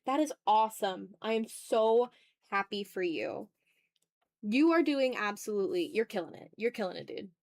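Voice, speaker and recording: A woman's voice: mid-range voice, animated, normal speed, a little mellow, no echo, clean studio sound. A woman streamer talking casually and informally to her chat while she draws, in a rambling, off-the-cuff way. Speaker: art streamer and ASMR YouTuber hosting a livestream.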